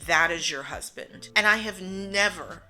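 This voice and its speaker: Bright-voiced woman telling a story. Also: fairly low pitch, unhurried, soft background music, rough recording. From United States. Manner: earnest, animated, laughs often, voices her own quotes. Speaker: vlogger telling her story. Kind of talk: storytime narration.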